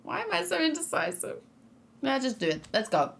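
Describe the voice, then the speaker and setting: A woman's voice: sits high, big pitch swings, normal speed, vocal fry. A young woman chatting casually on a live stream, thinking aloud and reading out chat messages as she reacts to game trailers.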